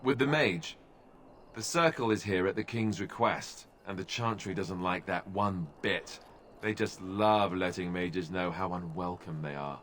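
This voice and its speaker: A man's voice: sits low, measured pace, fairly bright tone, a little hiss or hum. An actor playing a young male warrior who was once a templar, speaking in a dry, wry way in a video game.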